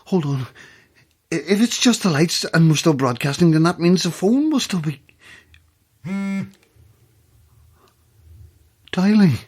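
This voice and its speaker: A woman with an Irish accent playing a frightened but commanding late-night radio host in a naturalistic horror audio drama, urgent and halting. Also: lowish voice, lively intonation, a little mellow, husky. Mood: frantic. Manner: urgent, halting, gives orders.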